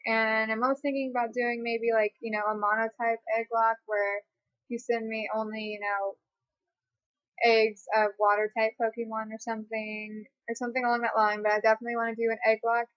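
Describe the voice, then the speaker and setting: A twenty-year-old woman chats her way through viewer questions from home, wandering through thoughts with lots of fillers and restarts. Her voice sits a little high. The webcam audio is dry and slightly muffled. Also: flat delivery, fairly quick, dry recording. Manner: rambling, chatty, many fillers and restarts. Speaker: YouTuber. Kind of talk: casual facecam Q&A. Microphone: webcam mic.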